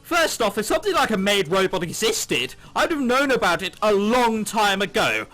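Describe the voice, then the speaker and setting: Man, high bright voice, lively swoops, sarcastic game commentary, music underneath. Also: moderate pace. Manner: sarcastic asides, swearing. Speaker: let's play host. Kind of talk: reading a visual novel aloud with running commentary.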